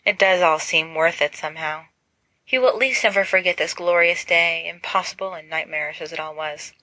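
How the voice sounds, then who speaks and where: A woman narrates a story with expression, like a volunteer audiobook reader. Her voice is bright and forward, a little low for a woman, and recorded very dry and close. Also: fairly quick, no echo. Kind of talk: expressive narration of fan fiction.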